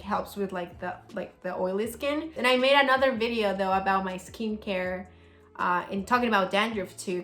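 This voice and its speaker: A woman's voice: fairly high pitch, fairly bright tone. A young woman from El Salvador, chatting casually as she answers viewer questions, full of "like" fillers. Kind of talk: casual Q&A vlog. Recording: roomy sound with faint music.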